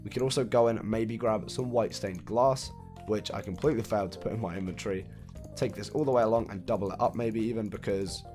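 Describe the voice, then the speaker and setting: A man hoarse and raspy from a recent cold, casually walking viewers through a build step by step. He counts blocks out loud and laughs off his own slip-ups. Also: lowish voice. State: hoarse, croaky after a cold. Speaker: video game building tutorial host. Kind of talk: casual step-by-step gameplay walkthrough. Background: faint background music.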